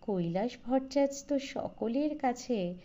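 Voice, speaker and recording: A woman reading a literary story as scripted audiobook narration, in a measured, expressive way. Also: fairly high pitch. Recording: slightly dull, lo-fi recording.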